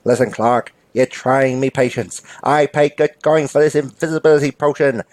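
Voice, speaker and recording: A man's voice: medium pitch, normal speed, darkish tone, lightly acted. A man playing a pompous, dim and indignant fantasy robber in a comic sketch, speaking in colloquial dialect. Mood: menacing. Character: blustering dim fantasy bank robber convinced he is invisible.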